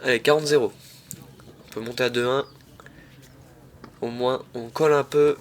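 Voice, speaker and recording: A man's voice: medium pitch, normal speed, a little hiss or hum. Young man with a cold, slangy. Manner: slangy, excitable, talks to viewers as "les mecs". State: has a head cold. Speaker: tennis vlogger commenting his own match. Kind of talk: casual match commentary voice-over.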